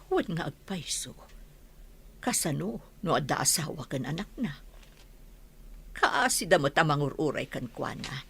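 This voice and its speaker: A woman acting in a radio drama as a cautious, caring middle-aged village mother with a husky voice. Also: sits low, very animated, a little slow, long pauses, fairly bright tone, full character voice.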